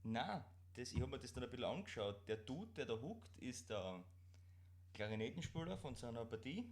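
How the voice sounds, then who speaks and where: A man with an Austrian accent chatting casually as co-host of a live stream, in broad dialect with halting, repeated words. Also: mid-range voice, sing-song.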